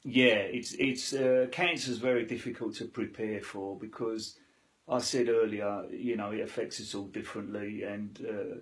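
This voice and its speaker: A man telling a story with humour in a loud, chirpy way, as the guest in an interview. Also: on the low side, fairly even intonation, moderate pace. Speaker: interviewee, cancer patient.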